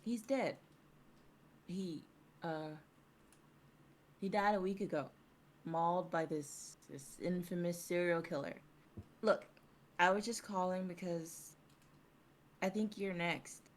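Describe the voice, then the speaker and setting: A young woman playing a nervous, haunted old schoolmate, halting and hesitant, in a dramatic script reading. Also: on the low side. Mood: grave, paranoid. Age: young adult.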